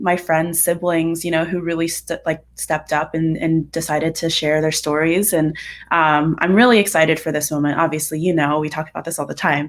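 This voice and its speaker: A young woman from Ohio interviewing a candidate, halting and full of fillers, over a video call. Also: deep voice. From Dayton area, Ohio, USA. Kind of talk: interview questions.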